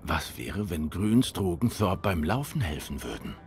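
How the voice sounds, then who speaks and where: A man voicing a brooding private detective in a noir video game, speaking in a measured inner monologue as if murmuring to himself. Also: low-pitched, big pitch swings, heavily acted. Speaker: detective narrator and protagonist.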